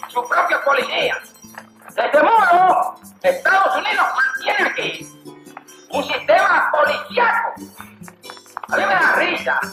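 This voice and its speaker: A man giving a forceful, declamatory political speech. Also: very high-pitched. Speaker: political speaker. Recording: echoey old archival recording with music.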